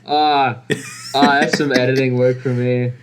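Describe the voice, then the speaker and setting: A man's voice: medium pitch, flat delivery, unhurried, slight background noise. A young man co-hosting and editing a podcast, swearing often in exasperated jokes. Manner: profane, exasperated joking. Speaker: podcast co-host and editor. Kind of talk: casual chat.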